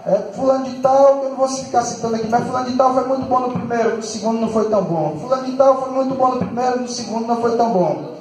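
Man, northeastern Brazilian accent, very high pitch, emphatic political oratory, repeated rhetorical questions, heavily echoing PA in a hall. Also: quick pace, a little mellow. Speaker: mayor. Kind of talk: impassioned political speech. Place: large open-roofed hall, at a head table. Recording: very echoey hall public-address recording.

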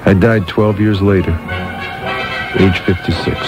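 An actor playing a middle-aged, witty, sardonic screenwriter with a wry, mocking manner in a radio play. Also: male voice, low voice, steady tone, measured pace. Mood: dry, reflective. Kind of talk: radio drama dialogue and monologue. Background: music.

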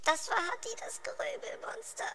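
Squeaky cowardly little goblin. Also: male voice, expressive, moderate pace, gravelly, sharp tone, whispered, full character voice. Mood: terrified. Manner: jittery, nervous babbling. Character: small silly cowardly male goblin, anxious and cheeky.

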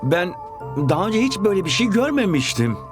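A man's voice: high voice, big pitch swings, brisk, over music. A man playing an earnest, anxious young football player in a cartoon. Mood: worried. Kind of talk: cartoon dialogue.

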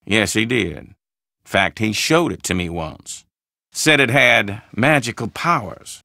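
An actor playing a pompous older doctor in a video game, speaking in a formal, deliberate, theatrical way. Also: male voice, on the low side, expressive, creaky, bright, forceful tone, no echo, clean studio sound, full character voice. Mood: wry, dismissive. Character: pompous, gloomy old Southern doctor, the dead man's friend.

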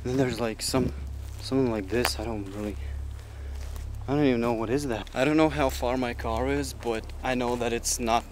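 A young man talking casually to a handheld camera as he walks outdoors, sounding uneasy and halting, swearing as he goes. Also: medium pitch, steady tone. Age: young adult. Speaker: vlogger. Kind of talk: casual solo exploration vlog. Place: outdoors in woods, walking. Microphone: handheld camera at arm's length. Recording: noisy outdoor handheld recording.

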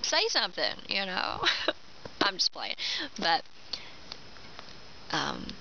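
A woman's voice: lowish voice, wildly swooping pitch, takes it slowly, bright, forceful tone. A woman in her twenties with a Kentucky Southern accent, talking casually and animatedly to her camera outdoors in a pine wood, laughing often. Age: 20s. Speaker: vlogger. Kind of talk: casual opinion vlog. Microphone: camera mic, head-and-shoulders framing. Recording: dull lo-fi outdoor audio with clear background noise.

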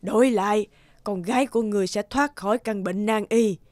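A woman's voice: medium pitch, a little fast, a little mellow, no echo, close to an everyday voice. A woman playing a stern old witch leader in a cartoon, cold and commanding, lecturing imperiously. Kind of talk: cartoon dubbing.

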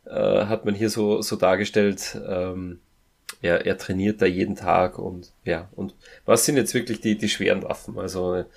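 Dude co-hosting a review podcast, lowish voice w lots of fry, kinda halting and repeats himself. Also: male voice, flat delivery. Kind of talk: casual podcast review chat.

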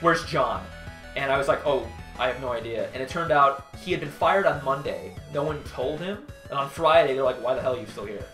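A man's voice: mid-range voice, fairly quick, pushed and bright. A young man from Wisconsin co-hosting a gaming show, telling long rambling stories with plenty of swearing and restarts. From Wisconsin, USA. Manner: rambling storyteller, swears freely, restarts often. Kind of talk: casual commentary chat over gameplay. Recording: roomy recording with game music behind.

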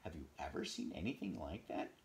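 A man voicing a kindly, tender woman who dotes on her pets, in a gentle storybook reading. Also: a bass voice, expressive, fairly quick, gravelly, pitched below the performer's own voice, heavily acted. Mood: fond, proud. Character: kindly woman pet owner, tender and cheerful.

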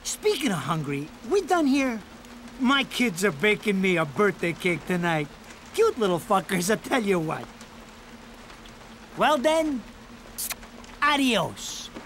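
An actor playing a whiny, foul-mouthed wiseguy undertaker in a video game, chattering brashly in American slang. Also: male voice, high voice, big pitch swings, slow, long pauses, a bit bright, slight background noise, heavily acted. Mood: joking. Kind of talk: animated video game cutscene acting.

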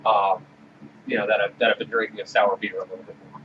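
A man hosting a casual beer-tasting podcast who speaks in a measured way and steers the talk. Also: lowish voice, flat delivery, distant mic. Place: small room with orange walls. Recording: dull sound with faint hiss.

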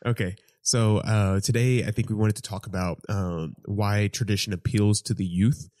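Young male host, twenties, low warm voice, halting casual delivery, dry sound. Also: no room sound. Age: 20s. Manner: hesitant, laughing, many fillers. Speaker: podcast host. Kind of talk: casual podcast chat.

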